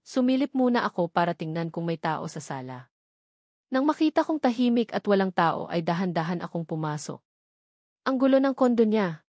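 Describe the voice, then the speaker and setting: A woman narrates a romance novel in the first person, telling it as drama. Her voice sits at a medium pitch, and the recording is dry and close. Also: a little mellow, no room sound. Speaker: audiobook narrator.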